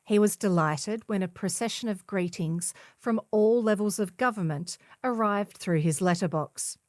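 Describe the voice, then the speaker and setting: A woman's voice: medium pitch, moderate pace, a little mellow, no echo, studio quality. A woman reading a scripted feature article as a volunteer newspaper narrator. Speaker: volunteer reader of a community newspaper.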